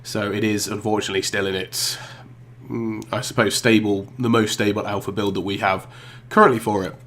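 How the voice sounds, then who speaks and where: A man's voice: fairly low pitch, flat delivery, fairly quick, fairly bright tone. A man with a blocked nose from a cold, hosting a casual gaming vlog, rambling and hesitant with many ums and restarts. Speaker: YouTube gaming channel host.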